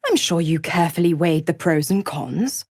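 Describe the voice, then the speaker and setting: A woman's voice: lowish voice, lively intonation, normal speed, a little mellow, no room sound, clean studio sound. An actress voicing a gloating, seductive female devil in a video game, with a mocking, theatrical, condescending drawl. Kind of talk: video game character acting.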